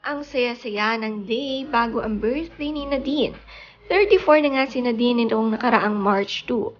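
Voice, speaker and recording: A woman reading a scripted showbiz news voice-over and reading social media captions aloud in a calm mix of Tagalog and English. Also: a little high, a little slow, dull audio.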